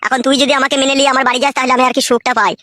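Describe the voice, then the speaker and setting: A woman's voice: high voice, little pitch movement, racing, sharp tone, no echo, studio quality. A woman playing a crude, swaggering husband in a comedy cartoon, with a coarse, bossy way of talking. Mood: gloating, lewd. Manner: coarse, brash, bossy, swearing. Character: crude, swaggering young husband with two wives.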